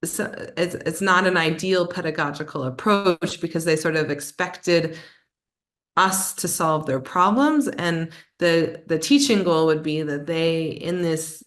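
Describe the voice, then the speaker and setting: A woman's voice: low-pitched, unhurried. A woman presenting online, answering a conference question in an explanatory way, often restarting her sentences. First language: English.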